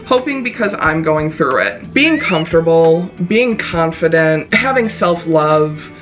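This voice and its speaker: A woman's voice: low-pitched, big pitch swings, unhurried, soft background music. A young woman from Chicago with a Midwestern accent, chatting casually and playfully while she does her makeup. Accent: Midwestern American. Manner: bubbly, playful, self-mocking. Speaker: video host.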